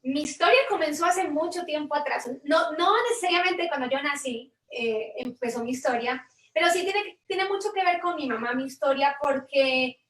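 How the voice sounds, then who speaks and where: Earnest high-voiced Colombian woman, roomy. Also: normal speed, fairly bright tone, recorded from a distance. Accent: Colombian Spanish, Medellín. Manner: earnest, thoughtful, with many restarts and tag questions. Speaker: guest investor. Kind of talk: reflective interview storytelling.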